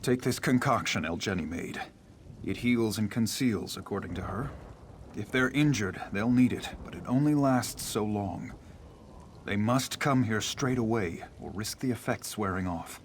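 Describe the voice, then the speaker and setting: Worn out fantasy game commander, grave n formal but determined, bit of rainy noise behind. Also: male voice, lowish voice, measured pace. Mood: grave, worried. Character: beleaguered military commander, weary but resolute. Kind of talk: video game character acting.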